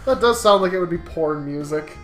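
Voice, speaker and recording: A man's voice: high-pitched, animated, brisk. A man chatting and joking casually as a co-host of a gaming video. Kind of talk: casual chat and joking banter. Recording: roomy sound with music behind.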